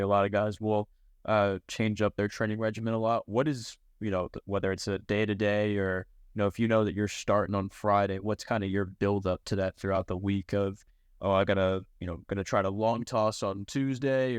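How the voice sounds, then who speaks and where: A man's voice: fairly low pitch, level tone, brisk, clear, resonant tone, no echo, studio quality. A man co-hosting a sports podcast, putting long, loosely phrased questions in a conversational way. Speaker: podcast co-host and interviewer.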